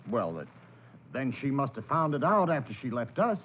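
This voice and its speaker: An actor playing a curt, defensive, suspicious amusement park boss with insistent delivery in a 1940s radio crime drama. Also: male voice, medium pitch, big pitch swings, normal speed. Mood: defensive, sharp. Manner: brusque, insistent. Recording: dull, noisy old radio recording.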